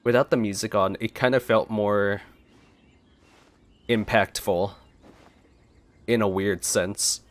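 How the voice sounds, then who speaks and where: A man's voice: lowish voice, fairly even intonation, takes it slowly, pauses often. A young man giving casual, chatty let's-play commentary into a close desk microphone. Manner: chatty, reads game text aloud, stumbles and laughs at slips. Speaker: gaming YouTuber.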